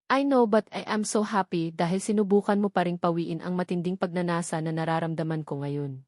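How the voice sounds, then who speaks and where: A woman voicing an amorous, tender male lover in a romance audiobook, with a soft, coaxing manner. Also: fairly low pitch, fairly quick, no room sound, studio quality, natural voice.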